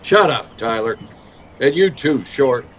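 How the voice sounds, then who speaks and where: A man's voice: mid-range voice, lively intonation, takes it slowly, fairly bright tone, highs cut off, a little hiss or hum. A man playing a stern, plain-spoken Old West town marshal in a radio Western. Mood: stern, commanding. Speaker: voice actor in radio show recreation.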